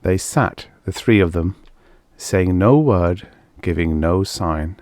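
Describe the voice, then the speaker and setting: A man narrating fiction in a dramatic, measured and deliberate literary reading style. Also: deep voice, a little mellow, dry recording, clean studio sound. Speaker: audiobook narrator.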